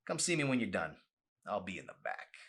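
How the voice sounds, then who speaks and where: A man's voice: deep voice, wildly swooping pitch, a little fast, creaky, a bit bright, full character voice. A man voicing a mean, bossy shop manager in an audiobook, demanding and overbearing. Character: mean, bossy ice cream parlor boss.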